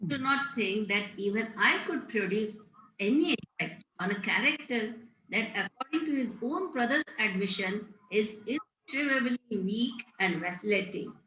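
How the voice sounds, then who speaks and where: A woman acts out a prim older governess in a Victorian stage comedy. Her voice is fairly high and sounds in a roomy, echoey space. She speaks formally and pompously, always instructing and gently scolding. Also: lively intonation, normal speed. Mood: disapproving, lofty. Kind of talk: play table read, Victorian comedy. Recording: roomy, echoey home recording.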